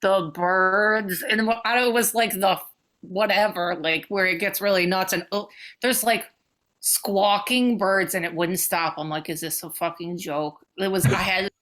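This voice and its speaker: A woman co-hosting a podcast, rambling in loose, casual banter. She swears freely and breaks into giggles easily, with a middling pitch. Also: steady tone, unhurried, a bit bright. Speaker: podcast co-host.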